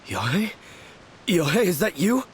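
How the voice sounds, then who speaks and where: A man's voice: high-pitched, sing-song, a little slow, a little hiss or hum. A man voicing a weary, humbled young swordsman with a plaintive manner in an anime video game. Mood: dazed. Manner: shaken, plaintive.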